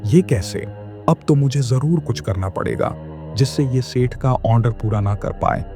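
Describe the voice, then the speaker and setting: Man playing a greedy, grumbling shopkeeper, dark-toned. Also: medium pitch, expressive, moderate pace, soft background music, lightly acted. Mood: jealous, frustrated. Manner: bossy, grumbling. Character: greedy, jealous, scheming male sweet-shop owner. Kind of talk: children's cartoon dialogue.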